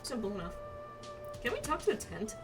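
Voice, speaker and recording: A woman's voice: a little high, huge pitch swings, fairly quick. A woman doing a casual playthrough of a video game, reading the on-screen text aloud. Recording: distant mic, music behind. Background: game music.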